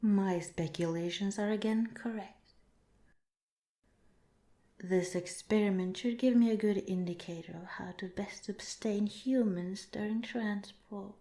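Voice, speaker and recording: A woman voicing a calm, curious alien researcher in a softly spoken, part-whispered ASMR roleplay, with formal, measured, clinical phrasing, in an echoing recording. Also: mid-range voice, lightly acted. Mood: detached, observing. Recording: roomy, echoing voice.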